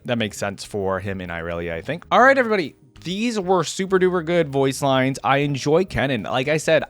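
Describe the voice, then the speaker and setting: A man giving casual, informal commentary as the host of an online game reaction video. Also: medium pitch.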